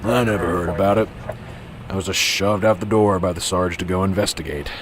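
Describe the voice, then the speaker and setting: A man's voice: deep voice, steady tone, fairly quick, lightly acted. A man playing a cynical, weary veteran police officer in an amateur radio drama, grumbling, sarcastic and dismissive. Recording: clearly noisy, vehicle-like background ambience.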